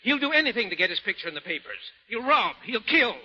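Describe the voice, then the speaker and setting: A man's voice: sits high, very animated, quick pace, sharp tone, husky, exaggerated voice. An actor playing a stern, pressing male parole board official in a vintage radio drama. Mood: insistent. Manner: pressing, insistent interrogator. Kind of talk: 1940s radio acting. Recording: dull lo-fi old radio transcription.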